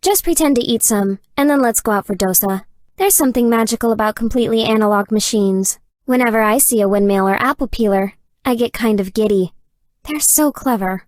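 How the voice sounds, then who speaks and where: A woman voicing a dry, deadpan, nerdy young gamer girlfriend in a dating game. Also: fairly high pitch, a little fast, no room sound, lightly acted. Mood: dry, sardonic. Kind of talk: scripted dating game character dialogue.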